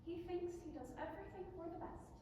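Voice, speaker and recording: A woman's voice: very high-pitched, a little fast, mellow. A woman acting a timid, unhappily married younger woman in a staged drama reading, earnest and hesitant. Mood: unhappy. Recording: very echoey hall from far off, faint noise.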